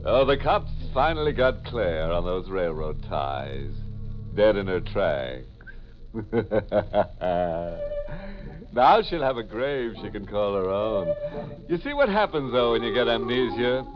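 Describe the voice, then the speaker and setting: A macabre host of a 1940s radio horror show. The man talks very slowly, swinging up and down with mock-solemn, gleeful irony. Music sounds clearly behind him on the muffled recording. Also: fairly low pitch, expressive.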